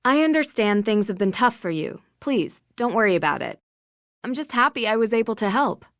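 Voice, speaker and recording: A woman playing a friendly, direct young amateur sleuth in a video game, polite, even and inquisitive. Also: fairly high pitch, normal speed, no room sound, clean studio sound.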